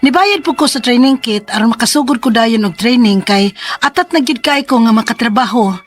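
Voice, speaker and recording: Actress doing a trusting young cebuano mom in a radio drama, highish voice, hopeful. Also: female voice, expressive, a little fast, slight background noise, natural voice. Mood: expectant. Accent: Cebuano Filipino. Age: 20s. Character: hopeful, trusting young mother seeking work.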